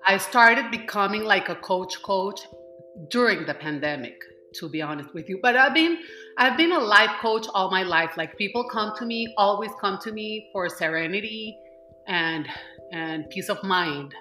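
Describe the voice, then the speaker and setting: A woman from Colombia, a manifestation coach, talking as a guest in a casual interview, halting and restarting as she goes. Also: mid-range voice, animated, bright, forceful tone, reverberant, soft background music. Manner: halting, with many restarts and fillers.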